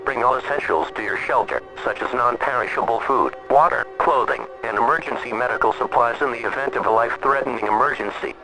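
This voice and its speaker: A man voicing an emergency alert announcer in a scripted broadcast, speaking in a formal, measured and impersonal way. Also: mid-range voice, animated, music in the background, natural voice, poor audio. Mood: grave, impersonal.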